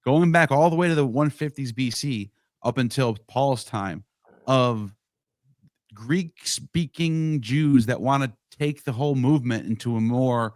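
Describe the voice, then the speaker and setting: Halting, restarting man in podcast chat. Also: mid-range voice, darkish tone, no room sound, studio quality. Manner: talks in bursts with many restarts. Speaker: podcast co-host or guest. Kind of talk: casual podcast discussion.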